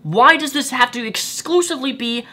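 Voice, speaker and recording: A young man with a higher-pitched voice, giving reaction commentary in an indignant, rhetorical way and repeating his points. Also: moderate pace, sharp tone. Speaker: YouTube commentator.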